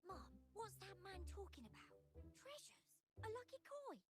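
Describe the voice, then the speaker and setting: A woman voicing a wide-eyed, excitable listener in a crowd in a video game. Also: extremely high pitch, normal speed, music in the background. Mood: excited wonder.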